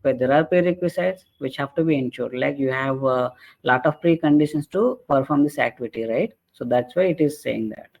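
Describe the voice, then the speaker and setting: A man presenting an explanatory software training webinar in a halting way, often checking in with short tag questions. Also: medium pitch, creaky. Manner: halting, repeatedly checks with "right?" and "okay". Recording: dull lo-fi webinar audio.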